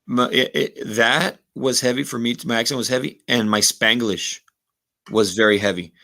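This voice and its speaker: A man's voice: medium pitch, fairly even intonation, normal speed, vocal fry, sharp tone. A man in his thirties with a Rio Grande Valley accent, talking reflectively as a podcast guest with lots of fillers and Spanish phrases mixed in. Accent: Texas Rio Grande Valley. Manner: reflective, many "you know" fillers, slips into Spanish phrases. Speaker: podcast guest, former college football kicker. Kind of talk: casual podcast conversation.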